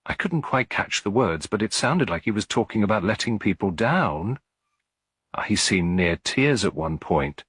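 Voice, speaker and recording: Guy doing a nervous young maid, shaky and hesitant, voice swooping about, bit husky, storybook style. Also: lowish voice, lively intonation, moderate pace, a bit bright, no room sound. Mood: nervous confiding. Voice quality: tremulous. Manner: hesitant, deferential. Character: timid, anxious young housemaid, earnest and loyal.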